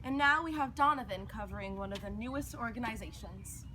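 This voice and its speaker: A woman's voice: on the high side, a little fast, loud music behind the voice. A woman presenting a scripted news report, read aloud. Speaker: news presenter in a mock 1950s newscast.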